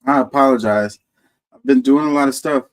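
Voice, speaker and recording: This is a male host with a middle-pitched voice. He reads a scripted civic message in an earnest, measured way. Off-script, he turns loud and chatty with his audience. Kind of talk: scripted voice-over narration and casual live talk.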